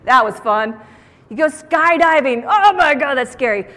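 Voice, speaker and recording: A woman giving a motivational keynote talk to an audience on stage, with an animated, theatrical delivery and playful emphasis. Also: high voice, normal speed. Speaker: keynote speaker. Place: large indoor conference room, on stage. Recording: echoey conference hall sound.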